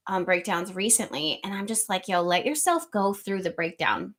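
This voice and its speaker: A woman hosting an astrology livestream, reading viewer comments aloud in a loose, rambling chat with a soft, slightly nasal voice, close to the microphone. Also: mid-range voice. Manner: chatty, rambling, lots of ums and likes. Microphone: close studio microphone.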